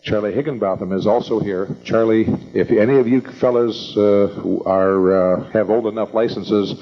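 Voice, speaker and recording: An older American man hosting an evening meeting, introducing speakers informally in a halting, rambling, folksy way. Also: on the low side, fairly even intonation. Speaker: event host introducing speakers. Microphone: event microphone. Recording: dull lo-fi event recording.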